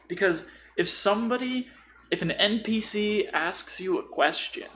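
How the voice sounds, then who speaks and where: A man's voice: high voice, unhurried, pushed and bright, a little hiss or hum. A man chatting casually and explaining tabletop role-playing with acted-out examples, as an experienced game master.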